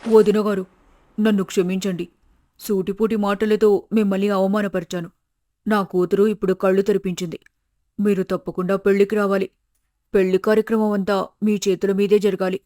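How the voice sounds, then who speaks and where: A man voicing a superstitious, sharp-tongued middle-aged mother who complains, in an animated moral story. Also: high voice, moderate pace, natural voice. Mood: apologetic.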